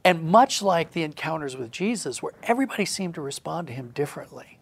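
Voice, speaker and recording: Middle aged guy, kinda high voice w lots of fry, thoughtful interview chat, acts out quotes. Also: expressive, fast. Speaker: guest, audio drama writer. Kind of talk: reflective conversational interview talk.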